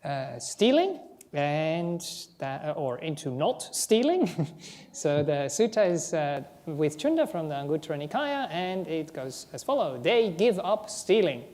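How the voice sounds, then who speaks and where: A woman with a German accent, a Buddhist monastic co-teaching a workshop, explaining in a halting way with frequent "um" and restarts. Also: sits low, very animated, dark tone. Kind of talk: workshop discussion. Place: Buddhist centre hall.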